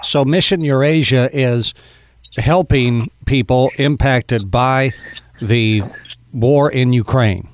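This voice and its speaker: A male radio host sums up his guest's words at an unhurried, deliberate pace. His voice sits at an ordinary middle pitch. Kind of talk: radio interview.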